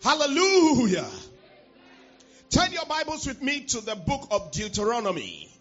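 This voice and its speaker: A fervent preacher man with a high, lively voice, sounding stuffy and nasal from a cold. He preaches in call-and-response bursts, swooping up and down as he prays and reads scripture. The recording is dull and lo-fi, with faint music underneath. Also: unhurried. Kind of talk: sermon with prayer and scripture reading.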